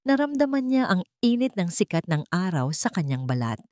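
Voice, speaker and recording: Woman, lowish pitch, dry close sound, scripted romance narration, measured phrasing. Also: very animated, a little mellow, no room sound. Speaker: story narrator.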